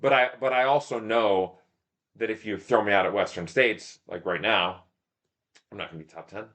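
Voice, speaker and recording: A man with a fairly low-pitched voice is a guest in a casual podcast interview. He tells stories in a loose, rambling way, often thinking aloud and talking himself down a little. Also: moderate pace, a bit bright. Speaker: podcast guest, ultramarathon runner and shop owner. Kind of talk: casual interview talk, telling stories about racing.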